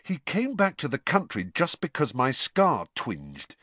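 A sullen teenage boy who speaks flatly and grudgingly, in a male audiobook reader's own low voice. The voice stays near the reader's natural pitch and sits dry and close to the mic. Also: measured pace, no room sound, full character voice. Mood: dismissive. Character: sulky teenage boy, bitter and stubborn.

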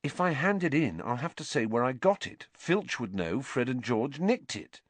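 Harry, a man reading a calm teenage boy. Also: medium pitch, moderate pace, dry recording, pitched above the performer's own voice. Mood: explaining.

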